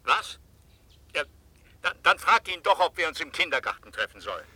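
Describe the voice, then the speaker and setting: A man's voice: on the high side, sing-song, moderate pace, bright, forceful tone, husky, heavily acted. An actor in a radio drama playing a domineering, indignant father and boss, exasperated and sarcastic.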